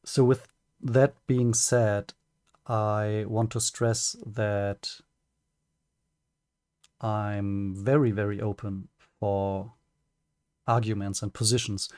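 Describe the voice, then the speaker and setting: A man with a German accent and German as his first language, giving a prepared personal statement as a streamer, careful and hesitant with many pauses and restarts. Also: lowish voice, a little mellow, no room sound, clean studio sound.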